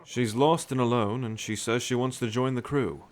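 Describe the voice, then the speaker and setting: A man's voice: medium pitch, moderate pace, lightly acted. A man playing an educated, kindly, rational sailor with articulate, bookish phrasing in a nautical fantasy audio drama. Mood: earnest, persuasive. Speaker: ship crewman.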